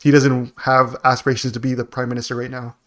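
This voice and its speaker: A man's voice: medium pitch, flat delivery, quick pace, a crowd in the background. Casual man chatting politics. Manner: slangy, joking. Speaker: podcast co-host.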